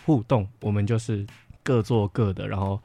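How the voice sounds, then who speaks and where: A man's voice: fairly low pitch, fairly even intonation, moderate pace, vocal fry, warm tone. A young adult man with a Taiwanese Mandarin accent, chatting casually as a podcast speaker. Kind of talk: casual chat.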